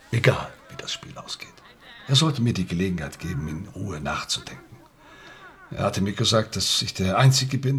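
An actor playing a middle-aged football coach under suspicion in a radio drama, husky-voiced, emphatic and self-justifying. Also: male voice, medium pitch, fairly bright tone. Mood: subdued, confessing. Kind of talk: naturalistic German radio drama acting.

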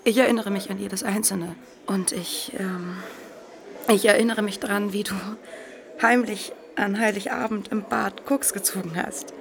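Actress: wounded, defiant teen girl, naturalistic radio play, mid-range voice, halting delivery with a cool front. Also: medium pitch, vocal fry, close to an everyday voice. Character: teenage daughter, cool, wounded, accusing.

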